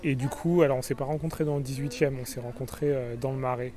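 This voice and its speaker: A man's voice: mid-range voice, fairly even intonation, quick pace, dark tone. Man in his thirties, casual. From France. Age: 30s. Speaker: interviewee.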